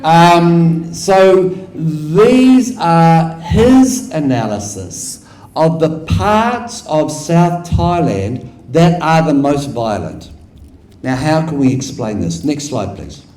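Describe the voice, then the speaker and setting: A man's voice: a little high, sing-song, slow, a little mellow. A man with a New Zealand accent giving a conference lecture in a hall, repeating phrases for rhetorical effect and quizzing the audience with questions. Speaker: guest lecturer. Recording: echoey hall.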